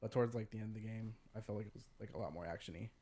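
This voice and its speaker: A man's voice: lowish voice, very fast, warm tone. Laid-back man rambling casually. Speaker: podcast co-host. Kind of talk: casual gaming chat.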